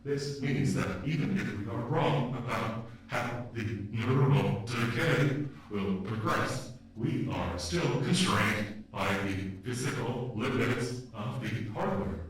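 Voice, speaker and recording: A man playing an ageing, humanlike AI with a failing memory in an audio drama, speaking haltingly and wearily. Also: medium pitch. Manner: slow, halting, repeats words. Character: ageing experimental humanlike AI, weary and grieving, losing memory. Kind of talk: dramatic audio roleplay monologue. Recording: very echoey, distant from the mic.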